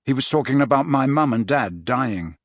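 A man voicing a determined teenage wizard boy who speaks in a quiet, steady, pressing way. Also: mid-range voice, moderate pace, dry recording, close to an everyday voice. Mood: quiet, grim.

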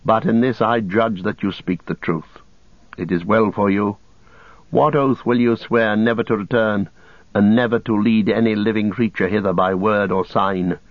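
A grave captain speaking with solemn authority, read by a male narrator in a lowish voice. His speech is formal and deliberate, with each sentence set down firmly. The recording is dry and slightly dull. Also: fairly even intonation, no room sound, lightly acted. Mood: stern, grave. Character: stern male captain passing judgment, grave and measured. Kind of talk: audiobook character voice.

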